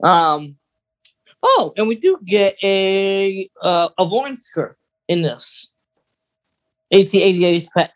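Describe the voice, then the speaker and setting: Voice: medium pitch, big pitch swings, takes it slowly, long pauses. A podcast co-host chatting casually and informally, recapping in a conversational way. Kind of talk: casual chat.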